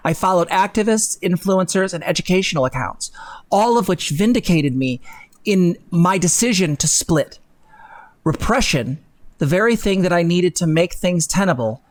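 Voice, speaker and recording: A young adult co-host reads an article aloud for a livestream, slipping in asides on the text. The sound is dry, with very little room echo. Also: mid-range voice. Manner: breaks off to comment on the text. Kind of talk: dramatic reading with asides. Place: indoor room, livestream set-up.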